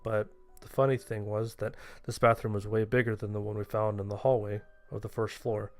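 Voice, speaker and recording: A man's voice: lowish voice, little pitch movement, a little fast, soft background music. A man reading a scripted first-person horror story as its narrator.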